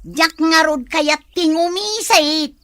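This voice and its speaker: A woman's voice: extremely high pitch, slow, fairly bright tone, no room sound, full character voice. A woman playing a vain, stubborn, complaining farmer's wife in a comedy skit. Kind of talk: comedy skit dialogue in Ilocano.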